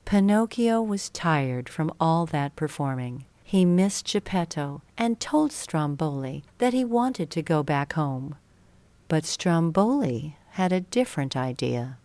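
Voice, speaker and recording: A woman narrates a children's story in a slightly low register. Her intonation swings widely from phrase to phrase, and the sound is very dry. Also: expressive, measured pace, darkish tone, no room sound.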